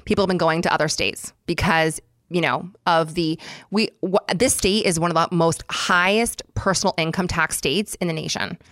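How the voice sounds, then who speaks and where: Chatty, animated woman acupuncturist. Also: lowish voice, moderate pace, no echo, studio quality. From California. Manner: chatty, animated, frequent fillers and restarts. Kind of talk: casual podcast chat.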